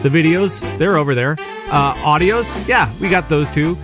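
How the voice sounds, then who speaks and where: A man's voice: on the high side, moderate pace, music in the background. A man hosting a casual gaming podcast, upbeat and rambling, with plenty of filler words. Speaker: podcast host.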